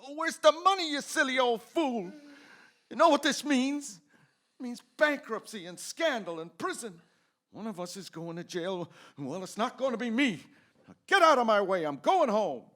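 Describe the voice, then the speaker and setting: Casting: male actor as desperate, quick-tempered husband and father, radio drama, very animated pitch. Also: heavily acted. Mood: furious, panicked. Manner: agitated, swinging from outbursts to subdued pleading. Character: desperate, short-tempered family man in financial ruin. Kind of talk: old-time radio drama acting.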